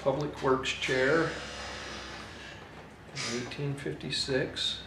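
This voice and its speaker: A man speaks slowly and haltingly in an informal interview. His mid-pitched voice echoes in a workshop with noticeable hum behind it. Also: creaky. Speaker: furniture craftsman. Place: woodworking shop in an old factory, indoors. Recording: echoey room with steady hum.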